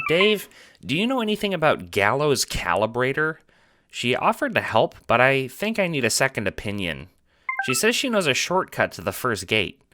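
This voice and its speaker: Performer doing a goofy, higher-pitched teenage kid. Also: noisy background. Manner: rambling, goofy, nagging. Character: chatty, nervous, upbeat teenage kid friend. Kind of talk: audio drama character voice.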